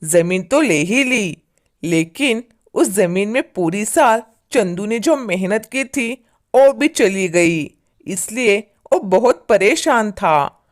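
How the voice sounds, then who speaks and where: Female storyteller for simple moral tales: slow, scripted narration at a medium pitch. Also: lively intonation.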